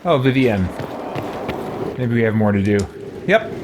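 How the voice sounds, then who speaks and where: A man in his thirties giving casual gameplay commentary, thinking aloud in a halting way. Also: medium pitch, animated, background noise. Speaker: let's play host. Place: small home room with shelves.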